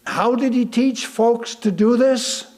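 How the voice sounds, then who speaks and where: A man reading a sermon aloud from a script, deliberately and with emphatic pauses. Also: extremely high pitch, fairly even intonation, darkish tone. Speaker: lay reader of a sermon.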